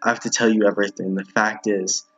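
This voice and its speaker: A man's voice: fairly low pitch, flat delivery, quick pace, fairly bright tone, breathy edge, muffled recording, voice pushed down. A man voicing a cowed, guilt-ridden male schoolteacher for a visual novel, meek and hesitant. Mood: confessing.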